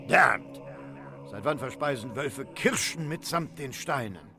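An actor playing a gruff, pompous, irritable middle-aged British colonel of colonial days in a comic radio play. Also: male voice, medium pitch, lively intonation, slow, sharp tone, soft background music, exaggerated voice. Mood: brusque.

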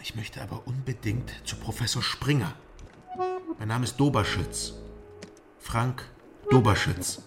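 An actor in a radio drama playing a dry, guarded East German investigator, speaking in an understated, matter-of-fact way. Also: male voice, mid-range voice, measured pace, long pauses, lightly acted. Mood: insistent. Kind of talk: radio drama first-person narration and dialogue. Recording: loud music behind the voice.

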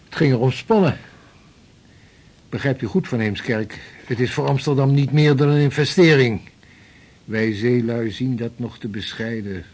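An actor in a radio play playing an eager, seasoned Dutch sea captain who talks heartily and decisively. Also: male voice, medium pitch, normal speed, a little mellow, close to an everyday voice.

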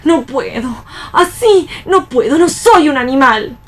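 An actress playing a defiant young newcomer at a factory in a radio play, outspoken and plaintive, with an Argentine accent. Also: female voice, high-pitched, lively intonation, unhurried. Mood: desperate. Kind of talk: radio read theatre.

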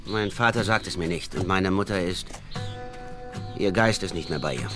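A man plays a taciturn, proud scout raised among Comanches in a western radio drama. His voice is deep, his delivery terse and grave, with music underneath. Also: normal speed, close to an everyday voice. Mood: guarded, sombre. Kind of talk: German radio play acting.

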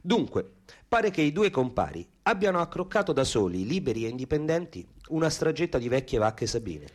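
An actor playing a wry, earthy Roman storyteller in a theatrical radio piece, with a Roman accent. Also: male voice, medium pitch, sing-song, brisk. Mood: wry narrating.

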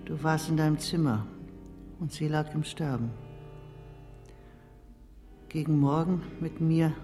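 A woman's voice: sits low, a little mellow. A very old nursemaid and confidante speaks in a radio play with a frank, unflinching manner. Her voice rings in a long reverberant hall, with music faintly behind it. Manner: plain-spoken, unflinching. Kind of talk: German radio drama acting.

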